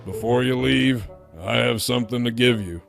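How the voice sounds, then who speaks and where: Gravelly, kindly male cartoon mentor, man acting. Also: mid-range voice, moderate pace, fairly bright tone, faint music behind. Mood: solemn, caring. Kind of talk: cartoon comic dub.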